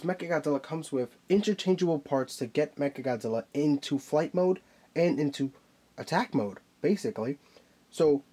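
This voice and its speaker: A man's voice: mid-range voice, mellow. A man with a stuffy nose from a cold, chatting casually as he reviews and handles a collectible figure. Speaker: toy reviewer.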